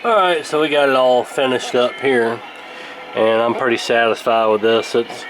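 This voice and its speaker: A man giving a casual hands-on repair tutorial in a halting, unscripted, explanatory way. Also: medium pitch, fairly even intonation, low-quality recording. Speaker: radio repair video host. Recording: clear background noise.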